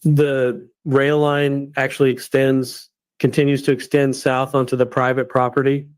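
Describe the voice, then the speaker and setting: A synthetic male voice playing a defense attorney, questioning a witness in a measured, methodical way. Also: medium pitch, flat delivery, smooth, no room sound, natural voice. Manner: measured, methodical cross-examination. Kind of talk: synthetic courtroom reenactment.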